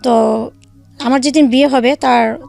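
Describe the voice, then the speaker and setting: Gravelly high-voiced woman telling her story. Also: steady tone, darkish tone, soft background music. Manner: halting, many fillers and restarts. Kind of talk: emotional personal storytelling.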